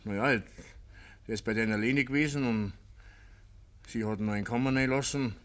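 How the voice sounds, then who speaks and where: A man's voice: medium pitch, moderate pace, natural voice. Village neighbour , male actor: Bavarian dialect radio drama, reluctant, conciliatory, apologetic, mid-range voice. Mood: uneasy. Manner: hesitant, conciliatory.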